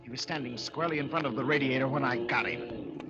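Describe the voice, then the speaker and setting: A man's voice: medium pitch, fairly even intonation, fast talker, lightly acted. An actor playing a nervous, guilty murderer telling his story in a radio drama, terse and hardboiled, with an American accent. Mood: grim narration. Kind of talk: 1940s radio acting, dramatic narration. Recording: muffled old radio, loud music behind.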